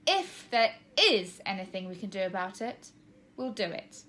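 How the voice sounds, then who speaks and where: A woman's voice: medium pitch, sing-song, normal speed, fairly bright tone. A woman plays a cheeky schoolboy in a children's storybook. He talks in a wry way, giving out lines like a pretend military commander.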